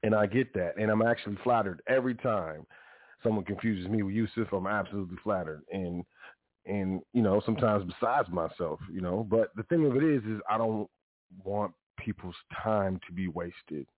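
Deep-voiced guy hosting his show, rambly, lots of "you know", sounds like a phone line. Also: moderate pace, no echo. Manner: rambling, frequent self-corrections and "you know". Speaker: podcast host. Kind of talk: casual monologue. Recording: dry, phone-like narrow sound.

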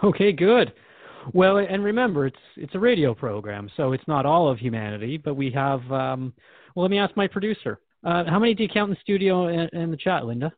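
A man's voice: a little high, sing-song, a little fast, no room sound. A man from Canada playing a skeptical, slightly flustered radio host in a drama, hesitant and conversational as he questions his guest. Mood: defensive, conversational. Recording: thin phone-like band-limited audio.

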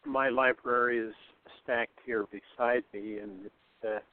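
A man chatting casually in a halting way. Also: medium pitch, steady tone, dry recording. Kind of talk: casual chat. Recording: narrow phone-like call audio.

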